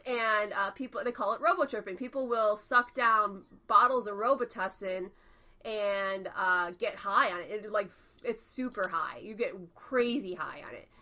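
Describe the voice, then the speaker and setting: Nasal-sounding woman cohost, bright voice, laughs a lot, gabby. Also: medium pitch, normal speed. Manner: laughs often, chatty storytelling. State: recovering from illness. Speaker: podcast co-host. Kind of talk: casual chat.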